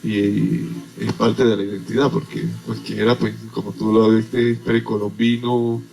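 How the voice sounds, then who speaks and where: Gravelly man explaining calmly. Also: on the low side, soft background music, poor audio. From Venezuela. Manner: explanatory, unhurried with many hesitations. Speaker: agronomist guest. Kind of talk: radio interview.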